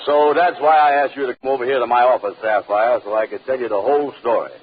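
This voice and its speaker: Casting: man playing slippery, excitable lawyer type for old radio sitcom, rapid folksy patter, thin hissy broadcast sound. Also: medium pitch, close to an everyday voice. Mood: smooth-talking, persuasive. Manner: folksy, ungrammatical, insistent. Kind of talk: 1950s radio situation comedy acting. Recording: tinny old radio recording, faint hiss.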